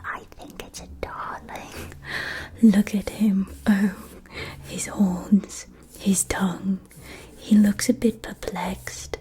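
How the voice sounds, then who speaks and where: A woman's voice: medium pitch, close to an everyday voice. A woman playing a courteous, doting shopkeeper of a witch school in an ASMR roleplay, speaking in a genteel, old-fashioned way. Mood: fond, amused.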